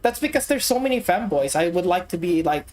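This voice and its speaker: A woman from the Philippines streaming a game, chatting casually and getting excitable as she plays. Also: lowish voice, fairly quick. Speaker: VTuber streamer. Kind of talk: casual gaming chat. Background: game music.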